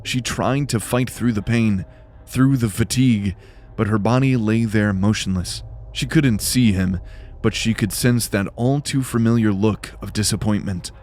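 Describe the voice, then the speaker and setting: A man's voice: on the low side, unhurried, darkish tone. A man narrating fantasy fiction in a scripted, dramatic storytelling style. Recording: music behind the voice. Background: music.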